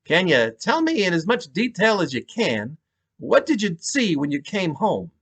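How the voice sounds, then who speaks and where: A man reading a novel aloud, voicing a brisk, courteous police detective with a New York accent. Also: high-pitched, wildly swooping pitch, fairly bright tone, slightly rough, voice pushed up, heavily acted. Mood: questioning. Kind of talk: audiobook-style dramatic reading.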